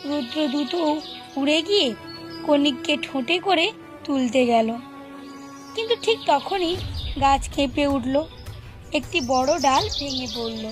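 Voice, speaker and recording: A woman narrates a children's cartoon story in a steady, gentle way. Her voice sits fairly high, and clear background music runs underneath the narration. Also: a little slow, pauses often. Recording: music playing behind.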